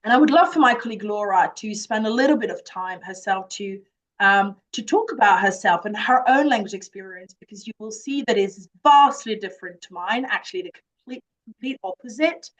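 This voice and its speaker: A woman's voice: mid-range voice, lively intonation, fairly quick, rough recording. A woman from France with a French accent, earnestly presenting a children's language summer camp from her office. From France, Jura. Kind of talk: presentation to parents.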